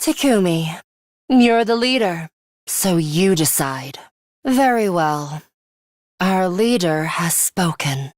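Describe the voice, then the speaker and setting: Cold bloodthirsty fighter girl for a game, talks slow n formal, kinda menacing, super dry recording. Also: on the low side, animated, dry recording. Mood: commanding. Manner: deliberate, formal and menacing, with theatrical flourishes. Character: cold, bloodthirsty young female fighter, terse and imperious. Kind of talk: English video game voice acting.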